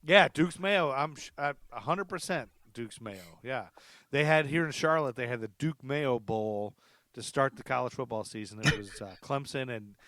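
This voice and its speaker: A man's voice: mid-range voice, normal speed, fairly bright tone, no room sound. Chatty man hosting a podcast. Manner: chatty, laughs often, rambling asides. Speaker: podcast host. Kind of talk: casual podcast chat. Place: lives in Charlotte.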